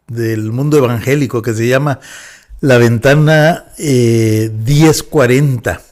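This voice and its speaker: A man in his fifties from northwest Mexico with a Mexican Spanish accent, a pastor talking calmly as a podcast guest and pausing often on "eh". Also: on the high side. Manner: measured, with frequent "eh" fillers. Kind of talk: relaxed podcast interview.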